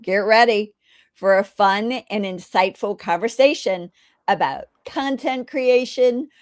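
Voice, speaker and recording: Chatty woman host, upbeat, close dry mic, mid-pitched. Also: measured pace, dry recording. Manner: enthusiastic, chatty. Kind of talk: casual interview hosting.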